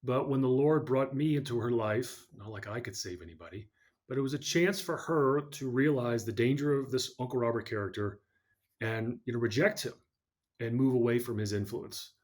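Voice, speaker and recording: Male guest, mid-range voice, earnest and measured as he recounts his story. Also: mid-range voice, a little mellow. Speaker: podcast guest. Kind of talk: personal story in an interview.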